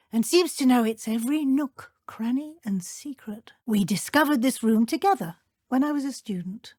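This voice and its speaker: Kindly but brisk British deputy headmistress of a wizard school, fantasy game. Formal diction, higher female register, close dry recording. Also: a little mellow, no room sound. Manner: formal, crisp, measured schoolmistress phrasing. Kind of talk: scripted video game voice acting.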